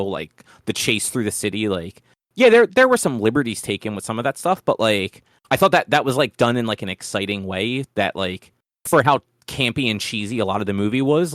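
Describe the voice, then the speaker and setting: A man's voice: lowish voice, fairly bright tone, no room sound, studio quality. A man co-hosting a podcast, chatting casually about films in a rambling, swearing way. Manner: rambling, profane. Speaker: podcast co-host. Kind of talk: casual film discussion chat.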